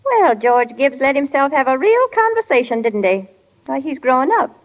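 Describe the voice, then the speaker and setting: An actress playing a brisk, no-nonsense small-town mother in a 1940s radio drama. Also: high-pitched, sing-song. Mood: brisk, practical. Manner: brisk, practical, folksy. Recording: dull 1940s radio recording.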